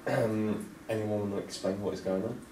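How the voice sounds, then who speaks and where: A man's voice: lowish voice, steady tone, moderate pace, warm tone, recorded from a distance, lightly acted. A man reading the part of a defensive, concerned young friend at a drama table read, recorded from afar in an echoey, noisy room. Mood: puzzled, concerned.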